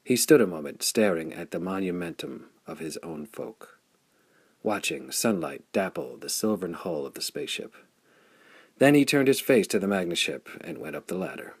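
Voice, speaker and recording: A man's voice: low voice, sing-song, moderate pace, gravelly, breathy edge, dry recording. A man reading a pulp adventure story as scripted audiobook narration.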